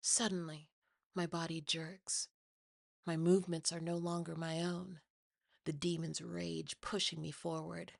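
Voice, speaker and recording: Female ASMR horror narrator: lowish, creaky, unhurried, first-person storytelling. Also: little pitch movement, no echo, clean studio sound. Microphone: close, dry mic.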